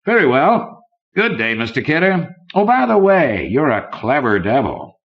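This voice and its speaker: A man's voice: medium pitch, big pitch swings, measured pace, pitched above the performer's own voice. Oily, ruthless banker villain, read by a man. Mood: smug menace. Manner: oily, smooth-tongued menace. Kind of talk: audiobook character dialogue. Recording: dull lo-fi old cassette recording.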